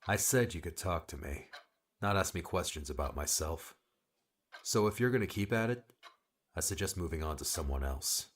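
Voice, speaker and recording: Casting: male actor as cold, weary guardian for audiobook drama, extremely low voice, terse and dismissive. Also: lively intonation, moderate pace, fairly bright tone. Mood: irritated, cold. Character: curt, aloof male guardian, a weary loner.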